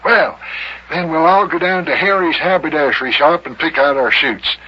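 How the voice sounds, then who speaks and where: An actor playing a bashful old small-town mayor in an old-time radio comedy, rambling and stammering in rustic grammar. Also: male voice, a little high, moderate pace, breathy edge, voice pushed up. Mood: chatty, bashful. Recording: dull, noisy vintage radio recording.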